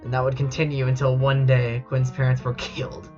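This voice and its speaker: A man's voice: medium pitch, completely flat delivery, fairly quick, a little mellow. A man streaming casually and reading fan fiction aloud in a mock-dramatic, amused and incredulous way. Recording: dull lo-fi mic with music behind. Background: background music.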